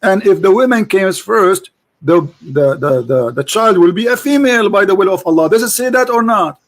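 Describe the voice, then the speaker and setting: A man with a notably high-pitched voice drives a heated debate, hammering his caller with the same questions over and over. He talks insistently, pressing for yes-or-no answers without letting up. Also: expressive, normal speed. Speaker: debate host.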